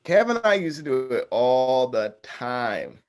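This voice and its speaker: Male actor as confident young friend on a night out, mid-pitched, casual, easygoing table-read delivery. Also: sing-song, measured pace. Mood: playful. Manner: casual, conversational.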